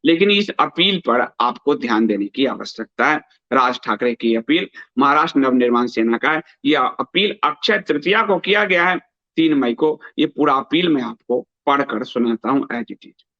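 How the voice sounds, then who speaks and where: A man's voice: medium pitch, expressive, normal speed, sharp tone. A man hosting news commentary, reading aloud and adding emphatic remarks, repeating phrases for stress, in a dull lo-fi recording. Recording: dull, lo-fi band-limited sound.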